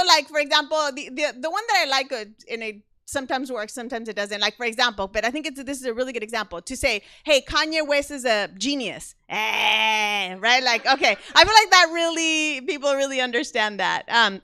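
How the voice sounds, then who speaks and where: A young woman with a Mexican accent performs stand-up with a bright, tense edge to her voice. She chatters in a self-mocking way and keeps breaking into laughter mid-sentence. The sound is dry and close, with no room around it. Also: sits high, animated, normal speed, clean tone, no echo. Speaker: stand-up comedian. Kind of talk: stand-up comedy set.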